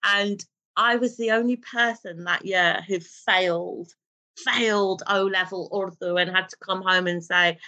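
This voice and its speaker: Woman, bright forceful voice, animated, storytelling, casual interview guest. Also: mid-range voice, unhurried. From Britain, raised in a Pakistani family. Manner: animated, storytelling, laughs easily. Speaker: guest, television drama writer.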